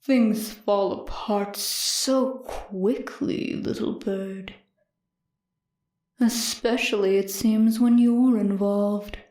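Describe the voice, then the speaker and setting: Lady doing creepy taunting voice in your head, soft croon, super slow and echoey, audiobook. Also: medium pitch, steady tone. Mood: cold taunting. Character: poisonous, crooning, taunting voice inside the heroine's mind. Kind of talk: audiobook character voice. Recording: echoing reverberant effect on voice.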